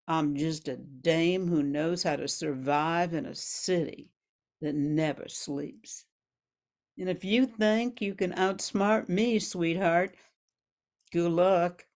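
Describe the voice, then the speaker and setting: A woman's voice: on the low side, a little mellow. A woman playing a sultry, tough 1940s gun moll in a hard-boiled radio noir monologue. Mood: cold, threatening. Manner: sultry, hard-boiled underworld slang, calling listener sweetheart.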